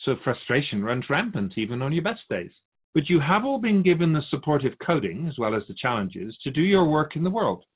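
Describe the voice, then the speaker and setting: Man as a wise spirit guide, lively intonation. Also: a little high, husky, narrow, tinny audio. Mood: patient, explaining. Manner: measured, didactic, philosophical. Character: wise, patient spirit Keeper of souls, gently chiding. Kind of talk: spiritual teaching in dialogue.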